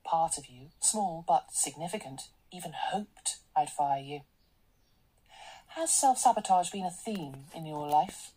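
A commanding businesswoman in a radio play. Her voice sits low with a husky edge. She speaks in a brisk, polished, assertive way, cool and sure of herself. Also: animated, dark tone, heavily acted, poor audio. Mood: brisk, probing. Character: brisk, commanding corporate businesswoman boss, cool and self-assured. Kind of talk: radio drama acting.

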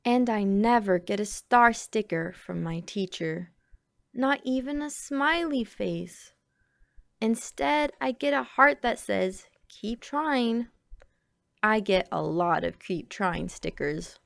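Unhurried woman reading a picture book. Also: medium pitch, vocal fry, no room sound. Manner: expressive, deliberate phrasing. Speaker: storybook reader. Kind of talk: children's picture-book read-aloud.

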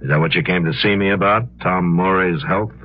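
An American actor playing a gruff, weary, firm US marshal in a 1950s radio western, with a clipped, authoritative manner. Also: male voice, deep voice, brisk, natural voice. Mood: stern. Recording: muffled, noisy 1950s radio recording.

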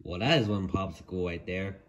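A man's voice: low voice, brisk. A man voicing an easygoing, friendly toy race car in amateur toy play. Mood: casual, friendly.

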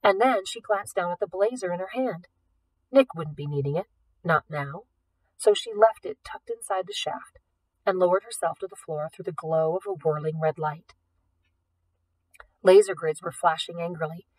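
A woman narrating a novel as an audiobook reader, with expressive delivery. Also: lowish voice, moderate pace, slightly rough, dry recording, recorded from a distance, rough recording. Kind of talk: expressive scripted fiction narration.

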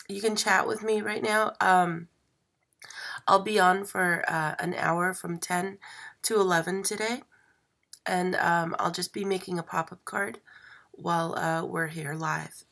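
A woman who is sick and losing her voice, hosting a live stream craft demo at a table and talking slowly with many fillers and pauses. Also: medium pitch, gravelly. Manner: slow, hesitant, many fillers, soft. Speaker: craft channel host. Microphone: overhead camera, hands only.

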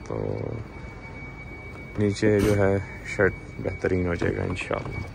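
A man's voice: low-pitched, a little slow, vocal fry, background noise. A young man hosting a farm channel, casually narrating his vlog on an outdoor city rooftop with a phone camera. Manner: relaxed, explains while asking questions.

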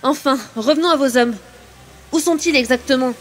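A woman's voice: high-pitched, moderate pace, close to an everyday voice. A woman playing an inquisitive, skeptical journalist in a comedic sci-fi radio play, probing and incredulous. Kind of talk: comedic radio science-fiction acting.

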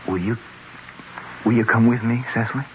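A man's voice: medium pitch, steady tone, normal speed, natural voice. An actor playing a cornered male politician in a radio drama, defensive and faltering, stumbling over repeated words. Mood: pleading, confessing. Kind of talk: 1970s radio drama acting. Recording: muffled radio recording, faint noise.

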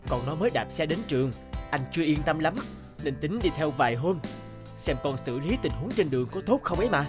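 Man acting a lively-pitched, earnest cartoon father. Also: brisk, lightly acted. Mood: relieved explaining. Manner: earnest, explanatory. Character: calm, reasoning father, patient but firm with his wife. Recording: music behind the voice.

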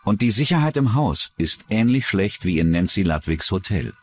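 Low-pitched male true-crime narrator. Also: sing-song, moderate pace, slightly rough. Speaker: documentary narrator.

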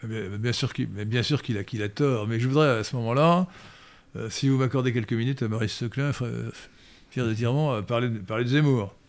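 Older man, gravelly professorial voice. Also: mid-range voice, brisk. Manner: professorial, hesitant with frequent "euh" and restarts. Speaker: host answering listener questions. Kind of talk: lecturing Q&A answers. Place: study room indoors. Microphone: studio mic on stand.

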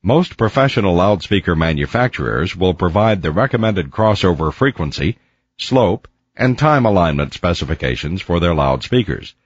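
A man with a low, husky voice narrates instructional material. His delivery is measured and precise, with lively intonation that clearly marks each point of the scripted lesson. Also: no room sound, lo-fi sound. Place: close, dry voice-over recording.

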